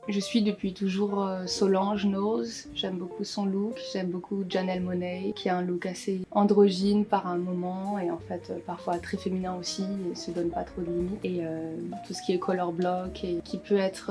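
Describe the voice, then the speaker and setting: Calm low-voiced young woman musician. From Guadeloupe, born in Paris. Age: 20s. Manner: relaxed, thoughtful, many hesitations with "euh". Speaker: singer-songwriter interviewee. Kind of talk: casual interview answers to camera.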